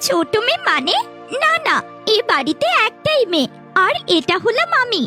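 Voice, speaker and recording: A woman's voice: very high-pitched, very animated, normal speed, bright, forceful tone, exaggerated voice. A woman voicing a spoiled, haughty little girl in a cartoon fairy tale, whiny, rude and pouting. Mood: haughty, defiant. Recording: faint background music.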